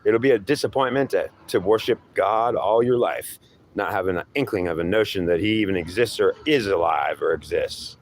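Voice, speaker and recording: A man's voice: lowish voice, normal speed, a little hiss or hum, natural voice. Guy doing a horned devil acting like god, menacing, sneering, keeps goading in an improvised skit. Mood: goading, bleak. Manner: scornful, goading, repetitive lecturing.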